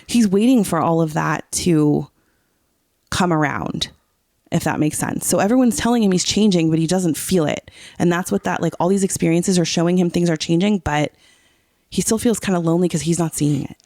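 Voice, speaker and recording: Podcast co-host, mid pitch, fry in the voice, casual explainer who seeks confirmation, dry recording. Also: normal speed, darkish tone, dry recording. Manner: often checks that the listener follows. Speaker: teacher. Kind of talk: casual podcast chat, explaining song lyrics.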